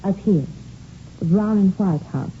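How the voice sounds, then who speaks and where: An actress playing an earnest, concerned household cook with a French accent, speaking carefully and politely in a 1950s radio drama. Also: female voice, medium pitch, unhurried, close to an everyday voice. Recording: muffled, noisy vintage radio recording.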